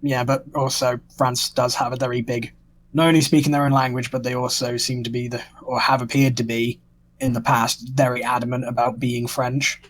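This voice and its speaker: Male, flat intonation, medium pitch, conversational, self-qualifying, podcast panellist. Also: normal speed, rough recording. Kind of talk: casual political discussion.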